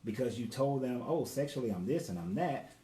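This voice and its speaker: A man's voice: mid-range voice, expressive, brisk, warm tone. A man in his forties co-hosting a casual live talk with his wife, laid-back and slangy, greeting viewers by name. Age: 40s. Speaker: co-host. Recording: echoey room with faint background noise.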